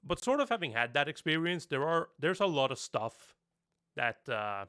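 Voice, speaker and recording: A man's voice: a little high, big pitch swings, normal speed, pushed and bright. A young man from Norway, a native Norwegian speaker talking in English, chatting casually on a live stream, rambling and laughing often.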